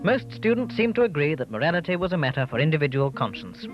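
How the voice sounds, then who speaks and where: A man narrates from a script at a medium pitch, as in a newsreel. His voice sounds dull on the old recording, with plucked guitar music clearly behind it. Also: sing-song, quick pace.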